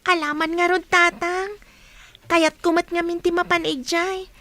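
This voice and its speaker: A woman's voice: very high voice, little pitch movement, a little slow, pushed and bright, no echo, heavily acted. A woman playing a dutiful, caring schoolgirl, the eldest daughter, in a radio drama. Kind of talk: Ilocano radio drama acting.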